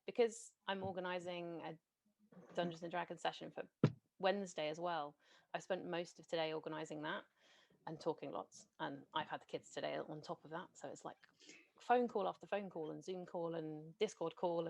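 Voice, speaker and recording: A woman hosting a game on a video call, chatty and organising, her voice a bit hoarse from talking all day. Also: fairly low pitch, fairly even intonation, normal speed. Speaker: game master and host. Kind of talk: casual chat on a video call.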